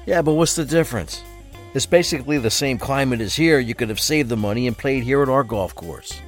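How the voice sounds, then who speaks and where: A man's voice: medium pitch, fairly quick, natural voice. A man playing an easygoing, slightly smug semi-retired businessman in an audio drama. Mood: contrary. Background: music.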